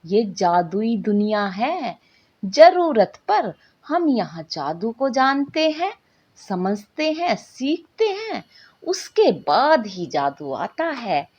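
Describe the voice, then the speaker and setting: A woman voicing a patient, affectionate mother in a magical storybook tale, gently explaining and coaxing. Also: on the high side, very animated, takes it slowly, breathy edge, exaggerated voice. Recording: dull lo-fi recording.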